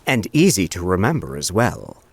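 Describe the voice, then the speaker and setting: An actor playing a suave, wily street fiddler with a resonant voice and courtly, flowery, mock-humble speech in an audiobook. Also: male voice, low-pitched, huge pitch swings, normal speed, creaky, slightly rough, no echo, heavily acted. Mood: smooth, courteous. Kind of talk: audiobook character acting.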